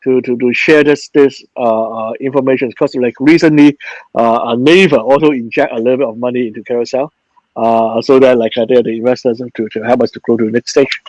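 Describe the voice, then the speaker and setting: A man speaking as a panelist in an online chat, halting, with many restarts and fillers, and a joking tone. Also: medium pitch, fairly even intonation, a little mellow, no echo, muffled recording. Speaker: panelist, company managing director. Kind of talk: casual panel talk.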